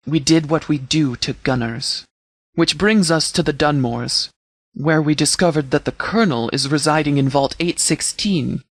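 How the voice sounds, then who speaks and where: Man acting formal sheriff, slightly higher-pitched male voice. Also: no echo, lightly acted. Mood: grave, resolute. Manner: measured, formal, authoritative. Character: stern, formal sheriff commanding a post-apocalyptic settlement militia. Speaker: sheriff giving briefings. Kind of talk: scripted video game dialogue, military briefing.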